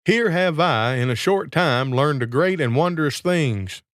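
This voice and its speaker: A man's voice: on the high side, lively intonation, a little slow, a bit bright, dry recording, clean studio sound, pitched above the performer's own voice. A man voicing a crafty fairy tale gardener who poses as a grand sage, proclaiming in archaic, grandiose speech.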